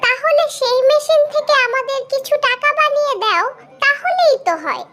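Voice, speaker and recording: A very high, squeaky cartoon child, with a Bangladeshi accent. She is a cheeky, pushy little girl who chatters and whines insistently. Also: animated, normal speed, fairly bright tone, a little hiss or hum. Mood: demanding. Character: pushy, cheeky young girl begging for Eid shopping money. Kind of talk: comic cartoon dialogue.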